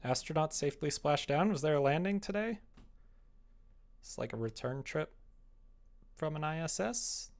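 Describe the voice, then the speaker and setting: A man's voice: on the high side, animated, normal speed, pauses often. Offhand young man chatting on a live stream. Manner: offhand, muttering to himself and reading chat aloud. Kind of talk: casual live-stream chat while typing. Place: small indoor room at a computer.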